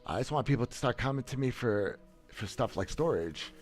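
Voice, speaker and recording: Boastful man with a lively voice. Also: medium pitch, brisk, soft background music. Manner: boastful, blunt, many restarts and stammers. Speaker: gaming streamer. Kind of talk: casual livestream commentary. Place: small room, seated in gaming chair. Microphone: headset, webcam framing.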